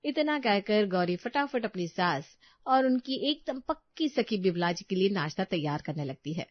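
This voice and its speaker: Expressive woman storyteller, slightly muffled recording. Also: medium pitch, normal speed, dry recording. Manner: expressive, addresses listeners directly. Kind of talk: scripted narration of a Hindi family tale.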